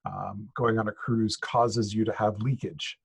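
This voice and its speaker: A middle-aged man co-hosting a podcast, casually presenting news in a halting, wry way. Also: on the low side, steady tone, creaky, a little hiss or hum. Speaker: podcast co-host.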